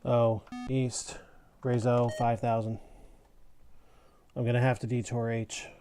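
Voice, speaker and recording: A man's voice: medium pitch, level tone, slow. A man doing live gameplay commentary as a streamer, calling out short clipped commands and explaining his moves casually. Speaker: live streamer playing an air traffic control game.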